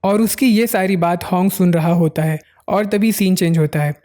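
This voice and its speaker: An anime recap narrator retelling an episode scene by scene in a steady, storytelling flow. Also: mid-range voice, moderate pace.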